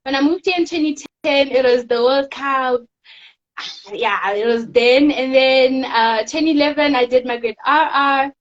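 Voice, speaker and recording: Teen girl guest, high voice, soft-spoken, muffled lo-fi audio. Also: moderate pace. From South Africa. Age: teens. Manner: soft-spoken, rambling storytelling with fillers. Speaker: guest, teen pageant winner. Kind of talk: casual interview chat.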